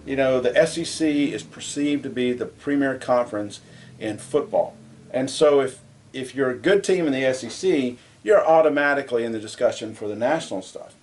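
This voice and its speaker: A man co-hosting a casual sports talk show, debating his co-host and getting louder and higher when he is indignant. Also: normal speed, a little hiss or hum.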